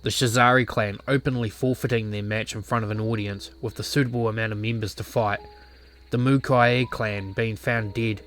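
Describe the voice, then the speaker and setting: A man playing a brooding, regretful former clan leader in a visual novel, speaking in a somber, measured, reflective way. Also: fairly low pitch, fairly even intonation, fairly bright tone, a little hiss or hum, natural voice. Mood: grim, bitter.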